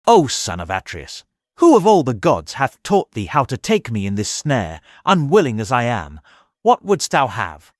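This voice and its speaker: A man's voice: medium pitch, wildly swooping pitch, normal speed, no room sound, clean studio sound. A man playing an aged, reluctant sea god and seer in an audio drama, speaking in grave, archaic declamation. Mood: indignant. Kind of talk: dramatized epic audiobook acting.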